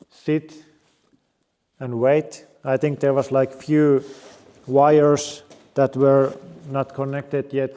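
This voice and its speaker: Slow, mellow Finnish-accented man in a reverberant garage. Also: mid-range voice. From Finland. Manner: unhurried, plain-spoken, halting non-native English. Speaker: car restoration vlogger. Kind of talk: casual presentation to camera. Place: home garage.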